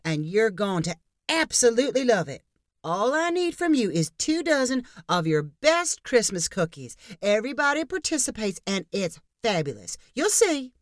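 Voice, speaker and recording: A pushy, cheerful middle-aged neighbor woman, put on by a woman reading aloud, who bursts out loud and high with sweeping, lively swings. She gushes and steamrolls anyone in her way, and the reading sounds dry and close. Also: no room sound. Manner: loud, gushing, overbearing.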